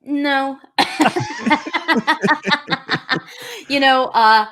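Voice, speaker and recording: A middle-aged woman from Long Island with a deep voice, chatting as a podcast guest and rambling with many fillers and restarts. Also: very slow, a bit bright, a little hiss or hum. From Long Island, New York. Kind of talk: casual interview chat.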